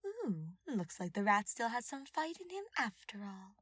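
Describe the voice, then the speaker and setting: A woman voicing a smug, mocking female royal advisor who gloats in a drawn-out, scheming way in an audiobook-style story. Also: fairly high pitch, sing-song, fairly bright tone, dry recording, voice pushed up, full character voice.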